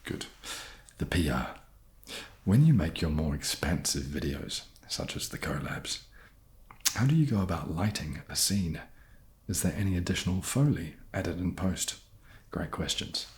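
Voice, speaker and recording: Soft-spoken low-pitched New man. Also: animated, a little slow. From New Zealand. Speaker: ASMR creator answering viewer questions.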